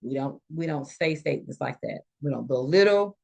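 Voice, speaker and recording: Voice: mid-range voice, animated, normal speed, gravelly, a little mellow. Nursing instructor with mid-range voice, teaching informally. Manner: explanatory, illustrates points with quoted examples. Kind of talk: informal live lecture to students.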